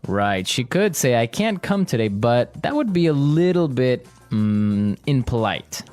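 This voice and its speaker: A man co-hosting an English lesson podcast, upbeat and repeating phrases slowly for learners to copy. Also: mid-range voice, very animated, a little mellow, faint music behind. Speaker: podcast co-host, English teacher.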